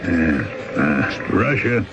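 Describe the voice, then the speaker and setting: An actor playing a fatalistic older boatman in a radio drama, calm and lecturing. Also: sits low, drawn-out words, lo-fi sound, heavily acted. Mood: weary. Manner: calm, world-weary, lecturing. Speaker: boatman character. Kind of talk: 1970s radio drama acting. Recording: noisy old radio broadcast.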